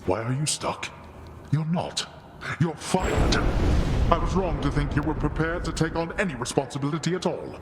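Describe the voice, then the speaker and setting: Man playing a scornful underworld god-king, commanding and cutting, lively rising and falling delivery, video game. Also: on the high side, moderate pace, long reverb tail, heavy background noise, natural voice. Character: stern male god of the dead, cold, scornful father.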